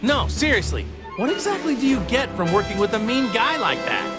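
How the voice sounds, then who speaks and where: A man's voice: very high-pitched, big pitch swings, brisk. An actor playing a cheerful, naive young male martial-arts hero in a game cutscene, talking in a casual, guileless, earnest way. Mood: puzzled, chatty. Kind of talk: animated game cutscene acting. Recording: loud music bed under the voice.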